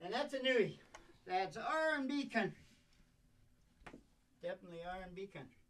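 Rambling older man with a higher-pitched voice. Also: expressive, moderate pace, a bit bright, slight background noise. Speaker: show host and musician. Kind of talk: rambling casual stage chat. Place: small dim curtained stage. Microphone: stage microphone up close.

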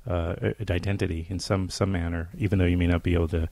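A male podcast host asks loose, meandering questions full of restarts. His voice sits very deep, with a gravelly rasp. The recording is dry, with no room sound. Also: flat delivery, fast talker, warm tone, no echo. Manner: rambling questions with many fillers and restarts. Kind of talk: casual interview hosting.

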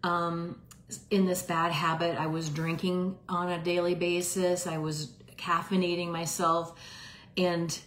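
Woman in her 50s, health coach on a home livestream; unhurried, low-ish voice, lots of ums, echoey room. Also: little pitch movement. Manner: conversational, frequent ums and restarts. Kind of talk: casual livestream talk. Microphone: phone camera at arm's length. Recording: roomy echo.

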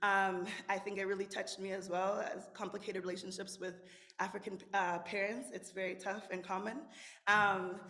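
A young woman from Zimbabwe with a raspy voice, performing spoken word poetry at a lectern microphone in an indoor room with dramatic, rhythmic delivery. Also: medium pitch, level tone, normal speed, pushed and bright. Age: young adult. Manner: dramatic, rhythmic declamation with sweeping gestures. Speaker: featured poet. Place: indoor room with brick wall, standing at a lectern. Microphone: stand microphone at the lectern.

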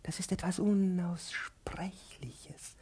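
An actor playing a pedantic, irascible professor in a 1950s German-language radio play, pompous and didactic. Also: male voice, high voice, slow pace, mellow, husky, whispered, heavily acted. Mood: pompous lecturing. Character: pedantic, irascible male professor, lecturing and browbeating his pupil.

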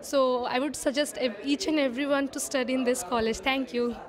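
A young woman talks in a high voice, in simple thankful sentences. She holds a mic to her mouth. Crowd chatter fills the background. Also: fairly even intonation, a little fast. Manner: simple, warmly grateful phrasing. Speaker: alumna giving a testimonial. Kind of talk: short spoken testimonial. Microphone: handheld microphone.

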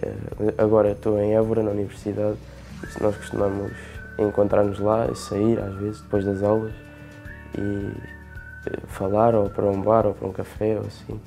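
A nineteen-year-old university student with a European Portuguese accent, speaking in a halting way with pauses into a lapel mic. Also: male voice, on the low side, monotone, vocal fry, warm tone, faint music behind. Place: dark indoor studio.

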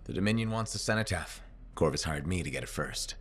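An actor playing an earnest, wry, determined freelance pilot in a video game, speaking in a dry, direct way. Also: male voice, low-pitched, animated, fairly quick. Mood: urgent, earnest. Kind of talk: video game dialogue acting.

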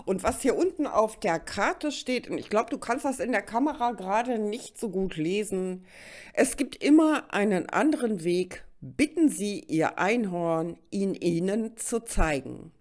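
A woman's voice: mid-range voice, expressive, measured pace, darkish tone. A middle-aged woman with a slight cold, chatting directly to the listener as she hosts an informal tarot reading. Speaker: tarot reader and host. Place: indoors at a card table.

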